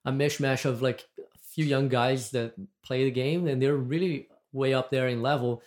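Brazilian-accented man, podcast guest, chatty and rambling, mid-pitched everyday voice. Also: fairly even intonation, normal speed, darkish tone. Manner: chatty, rambling, with frequent restarts and laughs. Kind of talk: casual chat.

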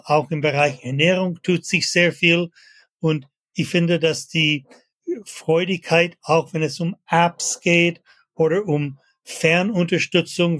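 An older man from Canada, a fitness industry veteran, talking as a guest in a casual interview, halting and pausing with many fillers. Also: fairly high pitch, a bit bright, no room sound, studio quality.

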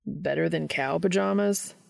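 Woman voicing cheeky slangy young man, very creaky. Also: fairly low pitch, a little slow. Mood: playful. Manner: casual slangy wisecracking. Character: young man, cheeky, quipping, bashful new lover.

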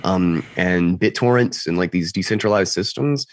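Low-voiced, slightly congested man chatting. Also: normal speed, dry recording. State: slightly congested, recovering from lost voice. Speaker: interview guest. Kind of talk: casual conversational explaining.

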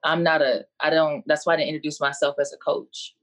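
A woman's voice: low voice, little pitch movement, brisk, fairly bright tone. A woman coach speaking as a panelist on a video call, earnest and preacherly, repeating her key phrases. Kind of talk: impassioned faith-based speech on a video call.

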